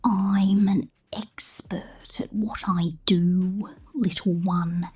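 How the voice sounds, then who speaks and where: A woman playing a possessive, condescending mechanic captor in an ASMR roleplay, speaking softly and half-whispered with playful menace. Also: mid-range voice, steady tone, slightly rough, soft background music, exaggerated voice. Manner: soft, half-whispered, slow, teasing.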